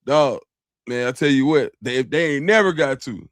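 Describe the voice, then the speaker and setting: Slangy male host reading shout-outs. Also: medium pitch, normal speed, dry recording. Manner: relaxed and grateful, slangy, calls people dog and bro. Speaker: show host reading super chats. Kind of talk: casual live-stream chat.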